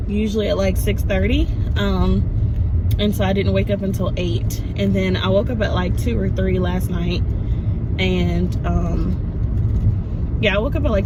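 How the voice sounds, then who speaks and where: A woman in her thirties vlogging casually outdoors by her car, a little raspy after coughing, rambling with many fillers. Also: mid-range voice. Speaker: nursing student vlogger. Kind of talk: casual vlog chat. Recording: loud outdoor traffic noise.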